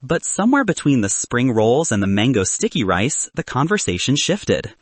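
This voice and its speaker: A man narrating a scripted story in a wry, expressive way, like an audiobook. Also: medium pitch, moderate pace, breathy edge, no room sound.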